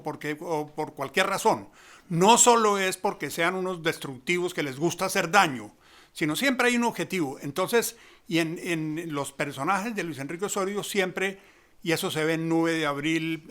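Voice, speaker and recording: A man's voice: on the high side, pushed and bright. A man giving conversational analysis, explaining emphatically and rephrasing his ideas as he goes.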